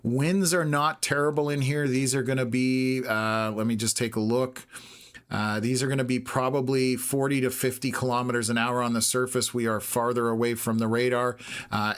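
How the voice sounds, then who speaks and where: A hoarse Canadian man hosting a live weather stream, talking through radar scans in a steady, measured way with lots of filler "uh"s. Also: mid-range voice. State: hoarse, losing his voice. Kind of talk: live storm-tracking commentary.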